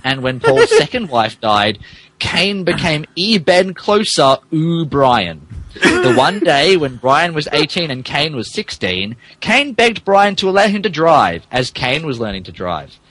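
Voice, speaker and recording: A man's voice: on the high side, sing-song, unhurried, a bit bright. A man narrating fan fiction as a comedic dramatic reading, stumbling over words and adding asides. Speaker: podcast host reading as narrator.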